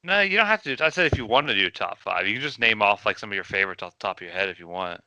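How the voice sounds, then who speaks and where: A man's voice: on the low side, expressive, racing, pushed and bright, clean tone, no echo, rough recording. A man hosting a casual podcast chat, laid-back and rambling, winging it with restarts. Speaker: podcast host.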